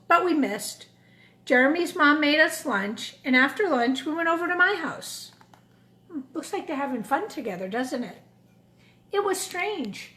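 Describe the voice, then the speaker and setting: In an echoey room, a woman with a fairly high voice reads a children's story aloud. Her delivery is expressive and deliberate, and she breaks off for questioning asides to her young listeners. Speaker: storyteller reading a picture book to children.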